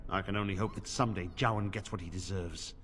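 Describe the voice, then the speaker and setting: An actor playing a stern, weary middle-aged templar commander in a fantasy game, speaking gravely and formally. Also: male voice, deep voice, steady tone, quick pace, a bit bright. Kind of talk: fantasy video game dialogue. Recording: reverberant stone hall, music underneath.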